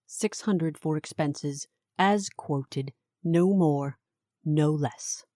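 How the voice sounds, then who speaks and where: Low, very slow, icy woman official, acted. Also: dry recording, clean studio sound. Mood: cool, stern. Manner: curt, clipped, deadpan. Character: stern, icy, no-nonsense female city official. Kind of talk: audio drama acting.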